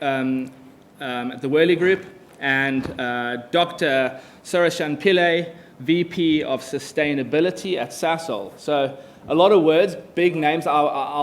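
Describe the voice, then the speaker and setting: A man's voice: a little high, a little hiss or hum. A man from South Africa moderating a live conference panel, talking hesitantly with many fillers and restarts. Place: conference stage.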